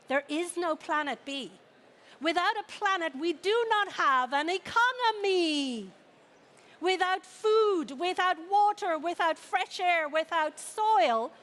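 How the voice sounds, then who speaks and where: High-pitched Irish woman giving a keynote. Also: fairly bright tone. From Ireland. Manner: emphatic, rallying, with rhetorical questions and deliberate repetition. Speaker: keynote speaker, founder and CEO. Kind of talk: motivational conference keynote. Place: conference venue, speaking to an audience. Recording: clearly noisy live conference stage.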